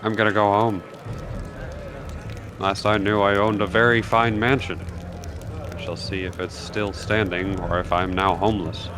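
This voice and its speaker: A man's voice: fairly low pitch, flat delivery, normal speed, long pauses, noisy background, lightly acted. A man voicing a proud, hard-nosed wealthy merchant in a video game, speaking in a formal, indignant manner. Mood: dour. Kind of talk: reading video game dialogue aloud. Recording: loud music under the voice.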